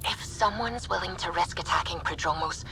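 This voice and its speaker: A thoughtful starship officer in a sci-fi game. The voice is a little low and dutiful. Faint music plays underneath. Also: female voice, normal speed, gravelly, sharp tone. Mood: concerned. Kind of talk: video game voice acting.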